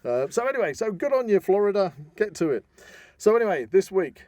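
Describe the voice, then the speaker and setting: A man's voice: sits high, sing-song, a little fast, darkish tone, no echo. A British man co-hosting a podcast in casual, jokey, rambling chat, recorded close up in a studio. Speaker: podcast co-host. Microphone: close studio microphone.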